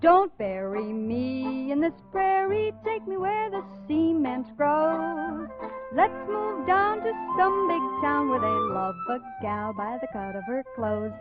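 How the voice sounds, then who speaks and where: Female singer, 1940s novelty swing, high and unhurried, on a dull old recording with prominent music. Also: sing-song. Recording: muffled vintage recording with loud band. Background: musical accompaniment.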